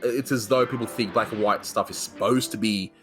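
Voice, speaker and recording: A man's voice: on the low side, fairly even intonation, brisk, over music. A man hosting a casual animation review, chatty and analytical, with frequent restarts. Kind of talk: casual cartoon review commentary.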